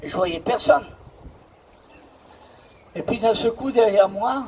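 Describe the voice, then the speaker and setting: A man's voice: sits high, slow, darkish tone, poor audio. A man from the Paris region preaching a sermon as pastor, emphatic and repetitive, telling a story to a responding congregation. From Paris region, France.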